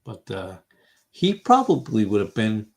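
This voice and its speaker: A man's voice: lowish voice, huge pitch swings, a little slow, a little mellow. Rambling fifty-something man hosting a chat. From Massachusetts, US. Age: 50s. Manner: rambling, thinks aloud with many fillers; reacts to viewers' comments as he goes. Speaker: host of an online fellowship chat. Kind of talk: casual livestream group conversation.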